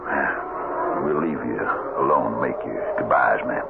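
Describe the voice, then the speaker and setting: An actor playing a courteous, fair-minded cattle-drive boss with halting, measured speech in an old-time radio western. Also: male voice, very deep voice, gravelly, full character voice. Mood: solemn. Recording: muffled, noisy radio drama recording.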